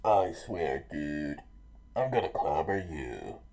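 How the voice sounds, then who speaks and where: A fearless, brash pirate lad, acted out by a male reader. He talks low, in blunt slangy phrases, defiant throughout, in storybook adventure style. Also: very animated, a little slow, vocal fry, fairly bright tone, exaggerated voice.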